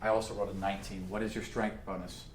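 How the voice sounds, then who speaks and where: A man's voice: lowish voice, little pitch movement, racing, noisy background. A man running a tabletop role-playing game, narrating off the cuff in a casual, sweary way. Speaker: game master. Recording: echoey room with music behind. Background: music.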